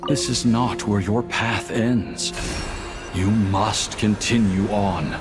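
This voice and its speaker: Solemn fantasy guide. Measured, slow, encouraging, game drama with music behind. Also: male voice, lowish voice. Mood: solemn, urging. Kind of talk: dramatic video game voice acting. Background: loud music.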